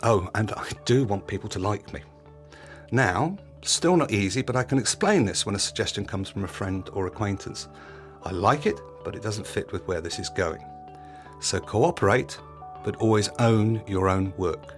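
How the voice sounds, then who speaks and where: A man's voice: on the low side, animated, normal speed. Reflective male podcast host over faint music. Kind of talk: scripted, confiding podcast monologue.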